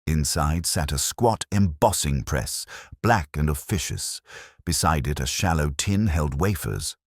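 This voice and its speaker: A man narrating a mystery story as a scripted reading, in a measured, dryly literary manner. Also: a bass voice, lively intonation, no echo, studio quality.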